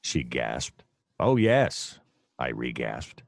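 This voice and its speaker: An actor playing a seedy, self-deluded private detective, narrating a comic noir radio play in a deadpan, melodramatic way. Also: male voice, a bass voice, very slow, gravelly, dry recording, studio quality, heavily acted. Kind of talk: hardboiled noir first-person narration, comedic radio play.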